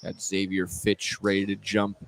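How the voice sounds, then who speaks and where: A man's voice: low voice, monotone, normal speed, creaky. A man calling live basketball play-by-play for radio, often repeating his phrases as he goes. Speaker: play-by-play sports announcer. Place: indoor high school gym. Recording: noisy gym, ball bounces behind. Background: gym noise and bouncing basketballs.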